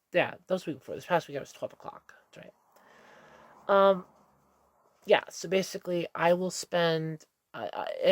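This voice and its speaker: A woman's voice: sits low, no echo, studio quality. Stuffy-nosed woman rambling to camera. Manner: meandering, self-correcting, candid. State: stuffy nose. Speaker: vlogger. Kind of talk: casual rambling monologue.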